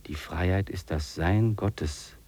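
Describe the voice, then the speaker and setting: Radio play lead: reflective, weary male wanderer, deep creaky husky voice, poetic delivery. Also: takes it slowly, darkish tone. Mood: solemn, reflective. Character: weary, dreamy male wilderness hiker, reflective. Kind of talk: 1950s German radio drama acting.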